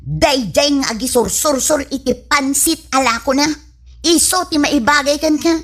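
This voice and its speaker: A woman's voice: high-pitched, lively intonation, normal speed. A woman acting a pretty, blunt, scornful young village woman in an Ilocano comedy radio drama, with an Ilocano accent. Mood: haughty, mocking. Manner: scornful, dismissive, teasing.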